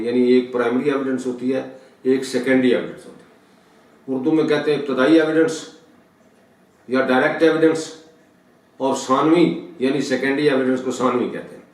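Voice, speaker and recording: A man with a Pakistani accent gives an explanatory law lecture, didactically repeating and rephrasing his points and mixing in English legal terms. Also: mid-range voice. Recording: very echoey room, distant mic.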